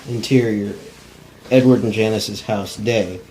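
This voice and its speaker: A man narrates screenplay directions in a low voice. His reading is plain and untrained, as at a table read. There is slight noise in the recording. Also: fairly even intonation, unhurried, a little mellow, rough recording. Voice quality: non-actor's plain reading.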